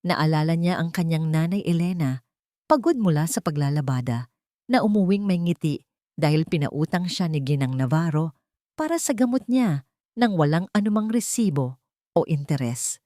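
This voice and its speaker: A woman narrating a scripted romance drama in a measured, dramatic way, in short phrases. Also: deep voice, lively intonation, warm tone, no room sound, studio quality.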